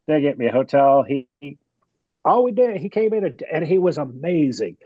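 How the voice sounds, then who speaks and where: A man's voice: a little high, very animated, normal speed, dry recording. A man guest on a wrestling podcast, chatting candidly and often repeating words as he starts a thought. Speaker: guest, wrestling producer and manager. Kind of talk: casual podcast chat. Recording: dull, dry sound.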